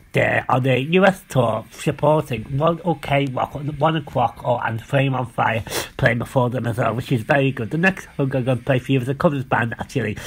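A man with a very husky, creaky voice presents songs as an amateur DJ at home. His pitch sits in the middle for a man. He rambles haltingly and circles back over his own words. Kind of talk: informal radio-style DJ links. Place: indoors at home.

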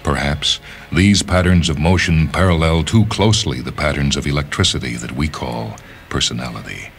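Very low male voice, dramatic story narration, measured and deliberate, music underneath. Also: creaky, a bit bright. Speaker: reader of short stories on a radio show. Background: background music.

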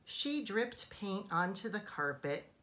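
A woman teacher reading a children's storybook aloud in a deliberate, expressive, emphatic way for young listeners. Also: medium pitch.

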